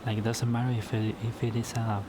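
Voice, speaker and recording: A man whose first language is Spanish, talking casually to camera in a solo vlog at home late at night, halting and often repeating words as he restarts his sentences. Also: medium pitch, level tone, background noise. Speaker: YouTuber.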